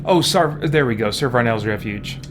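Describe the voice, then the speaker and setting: A man's voice: medium pitch, animated, normal speed. A man doing casual game commentary as a streamer, rambling and thinking aloud. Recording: loud game music behind voice. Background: game music and effects.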